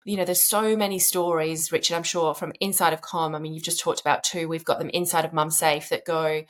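A woman's voice: deep voice, little pitch movement, brisk. A woman hosting a podcast, talking casually and earnestly and encouraging her listeners. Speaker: podcast host. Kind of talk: casual interview chat.

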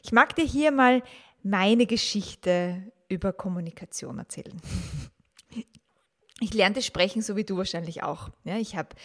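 Woman host, 40s, unhurried reflective storyteller, solo podcast. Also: mid-range voice. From grew up in the 1980s and 1990s. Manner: calm, personal storytelling with rhetorical questions. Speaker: podcast host, teacher and mother.

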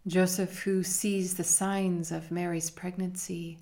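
A woman leading a guided meditation in a measured, hushed manner with long pauses between phrases. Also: lowish voice, flat delivery. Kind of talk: slow guided meditation narration.

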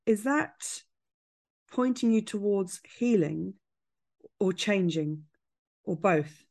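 A woman's voice: mid-range voice, lively intonation, slow, gravelly, a little mellow. A woman hosting an interview, asking questions with playful, encouraging asides. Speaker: podcast host.

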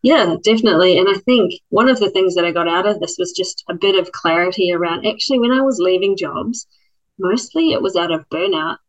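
A woman with a New Zealand accent answers interview questions in a thoughtful, measured way. Her voice sits on the low side, and the audio sounds muffled and lo-fi. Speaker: program participant, former lawyer.

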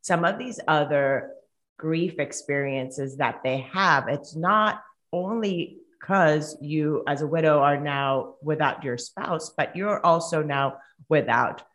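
A woman's voice: low-pitched, slow pace, a bit bright. A middle-aged woman from Colombia with a Colombian Spanish accent, a native Spanish speaker, talking reflectively as a podcast guest. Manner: reflective, with frequent restarts and laughs. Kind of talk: conversational interview.

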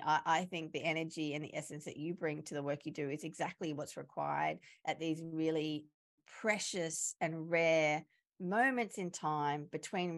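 A woman hosting a podcast interview, reflecting back and affirming her guest's words. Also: low voice. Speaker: podcast host, coach. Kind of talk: interview conversation.

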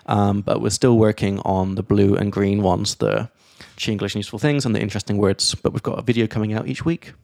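A man hosting a casual podcast, explaining English grammar in a lively way and reading out example sentences. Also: lowish voice, fairly quick, gravelly, few pauses, dry recording, studio quality. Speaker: podcast host and English teacher.

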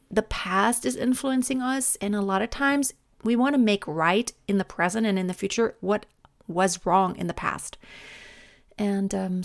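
A woman's voice: medium pitch, no room sound, clean studio sound. A middle-aged woman from Germany, speaking English with a German accent, hosting a reflective solo podcast and often restarting her sentences. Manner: thoughtful, stumbles and restarts often. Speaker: podcast host.

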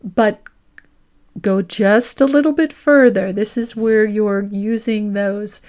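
A woman with a mid-pitched voice speaks as a career coach hosting a lesson. She addresses the listener directly and explains each point with emphasis, in the style of practical instruction. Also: fairly even intonation, slow, a little mellow. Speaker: career coach and podcast host. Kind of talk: instructional coaching with role-played example dialogue.

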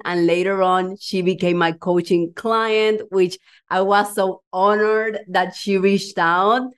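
A woman hosting a podcast as a coach, interviewing a guest in an enthusiastic, effusive way. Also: medium pitch. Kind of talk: warm interview chat.

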